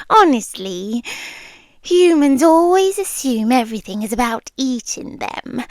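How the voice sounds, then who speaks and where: Woman as a slow-speaking, possessive British monster queen. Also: high-pitched, no room sound, full character voice. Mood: amused, patronising. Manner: slow, hushed, teasing, often dropping into whispers. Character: possessive immortal monster queen, amused and coaxing, wanting a human husband. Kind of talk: intimate ASMR roleplay.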